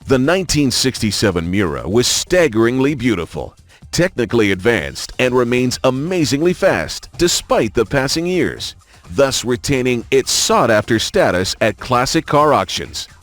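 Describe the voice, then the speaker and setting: A man's voice: medium pitch, sing-song, moderate pace. A man reading scripted promotional narration for a car showcase in a racing video game, with a polished announcer delivery. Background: music.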